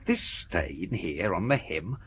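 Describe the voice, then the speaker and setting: An actor playing a discreet, deferential manservant in a British radio drama, formal, precise and methodical, with an English accent. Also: male voice, sits low, sing-song, slow, a bit bright, slight background noise, exaggerated voice. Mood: shrewd, probing.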